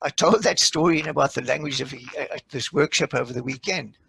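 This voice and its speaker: A man's voice: mid-range voice, fast talker, gravelly, a bit bright, a little hiss or hum. A man with a South African accent speaking on a panel, explaining and sharing anecdotes. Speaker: education expert panelist. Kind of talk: panel discussion.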